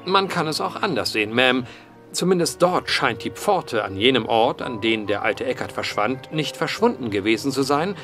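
Male role: earnest, methodical investigator for period horror radio play. Formal, courteous, lively intonation, faint music. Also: medium pitch. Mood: earnest, deliberate. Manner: formal, measured, old-fashioned phrasing. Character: earnest, methodical man investigating vanishings, polite storyteller. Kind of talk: period horror audio drama acting.

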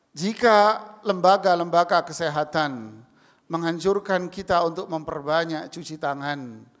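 Male preacher, forties, fairly high voice, pulpit stand mic, long hall echo. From Indonesia. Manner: rising emphatic calls to the congregation, chanting Arabic slowly. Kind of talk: Friday sermon. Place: large mosque hall, indoors. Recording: long mosque hall reverb.

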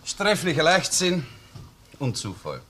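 An actor playing an assured, clinical police doctor in a crime radio play, explaining findings precisely in German. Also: male voice, on the high side, huge pitch swings, unhurried, fairly bright tone, full character voice. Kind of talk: German-language crime radio drama acting. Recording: noisy old radio play recording.

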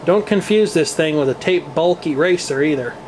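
An American man with a fairly high-pitched voice talks through a hands-on demonstration. His delivery is casual, explanatory and a little wry. It is recorded indoors on a handheld camcorder, with a faint steady noise behind him. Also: normal speed. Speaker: presenter demonstrating tape deck maintenance. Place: indoor room at home. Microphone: handheld camcorder microphone.

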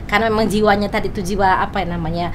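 A woman, chatting casually as a podcast guest and telling things in an animated, expressive way. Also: mid-range voice, rapid-fire, a little hiss or hum. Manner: animated storytelling with sound words and acted quotes. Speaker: content creator, interview guest. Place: small podcast studio, indoors. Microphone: boom-arm studio microphone, headphones on.

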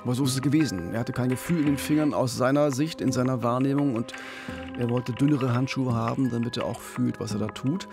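A man's voice: medium pitch, fairly quick, gravelly. A man in his fifties co-hosts a true-crime podcast. He tells the case in a conversational way, close on a studio microphone, with music underneath. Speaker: podcast co-host. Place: radio studio. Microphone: studio microphone on boom arm with pop filter. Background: background music.

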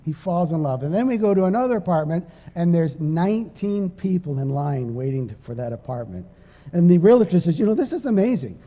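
A middle-aged man preaches from the stage of a church sanctuary, his voice high and mellow. He moves between chatty storytelling and forceful, emphatic preaching. The room gives his voice a long hall reverb. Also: brisk. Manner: animated, conversational, rises to loud emphatic preaching. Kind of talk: storytelling sermon.

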